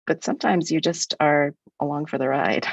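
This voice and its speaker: A woman's voice: sits low, normal speed, creaky, dry recording. A woman in her sixties from the United States, a guest speaker in an academic webinar, talking in a frank, reflective way with some restarts.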